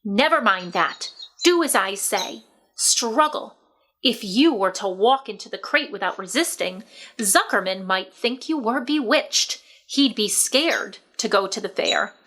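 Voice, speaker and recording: Woman reading as a bright, bossy old sheep. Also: mid-range voice, expressive, a little slow. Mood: urgent, conspiratorial. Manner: commanding, clipped instructions in short phrases. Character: bossy, shrewd old sheep giving urgent advice.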